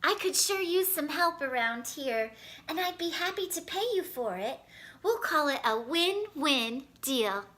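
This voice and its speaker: Storybook role: friendly male farmer, read by a woman, folksy, encouraging, high-set and bright. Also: animated, unhurried.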